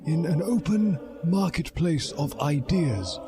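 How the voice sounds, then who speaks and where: A man voicing a smug, patronizing old wizard headmaster in a drawn-out, condescending storybook voice. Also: fairly high pitch, animated, pitched above the performer's own voice. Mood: falsely reasonable. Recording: music behind the voice.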